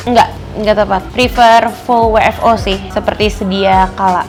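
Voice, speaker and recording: A woman's voice: medium pitch, fairly even intonation, moderate pace, faint music behind. A woman in her twenties giving blunt, colloquial opinions in a casual interview. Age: 20s.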